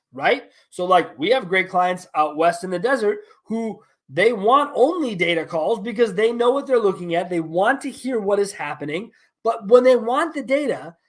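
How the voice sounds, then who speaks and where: A man with a notably high-pitched voice delivers a solo podcast monologue from his office. He talks bluntly and candidly, drops casual swear words, and punctuates his points with emphatic asides. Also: a bit bright. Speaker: podcast host.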